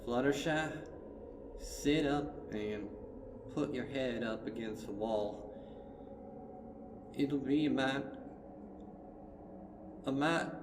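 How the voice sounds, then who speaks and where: A man voicing a grim, steady country mare holding back grief, folksy and plain-spoken, in a dramatic story reading. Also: mid-range voice, extremely slow, pauses often, echoey room, long reverb tail, distant mic, noisy background, pitched above the performer's own voice. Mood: choked sorrow. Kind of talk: dramatic fan fiction reading.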